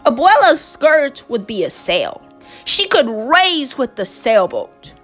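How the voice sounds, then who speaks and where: A woman reading a picture book aloud in a gentle, expressive way. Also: sits high, slow, faint music behind. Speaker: storybook reader.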